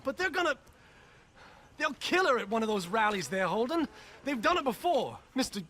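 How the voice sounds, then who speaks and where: An actor playing a lovesick, anxious young gentleman in a period video game, speaking earnestly and pleadingly with a genteel American Southern accent. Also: extremely high pitch, big pitch swings, moderate pace, full character voice.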